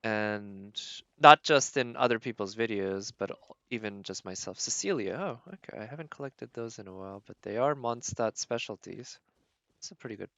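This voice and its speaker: A man's voice: fairly low pitch, moderate pace, vocal fry, pushed and bright, dry recording, muffled recording. A man doing casual live gameplay commentary as a streamer, thinking aloud as he narrates his own decisions. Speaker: game streamer.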